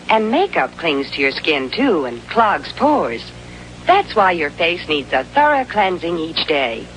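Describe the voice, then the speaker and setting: Woman, vintage commercial announcer, lowish pitch, animated intonation, faint hum and noise. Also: low-quality recording. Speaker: advertising announcer.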